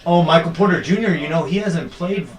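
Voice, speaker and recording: A man's voice: on the high side, a little fast. A young man chatting casually and teasing a friend while they watch a basketball game together. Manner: joking, teasing, lively banter. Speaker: co-host. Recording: echoey room.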